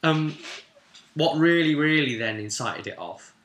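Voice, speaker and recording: A man's voice: on the high side, expressive, measured pace, fairly bright tone, highs cut off. A man, a game master, recounting a tabletop roleplay session in a rambling, emphatic, casual storytelling way and acting out the odd remark. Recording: echoey, reverberant room.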